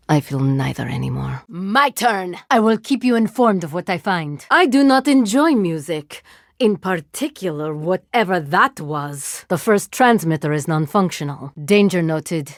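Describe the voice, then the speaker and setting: A woman voicing an eerily calm, literal-minded cyborg agent in a video game, speaking formally and deadpan with precise diction. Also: lowish voice, no echo, studio quality. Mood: cool, resolute.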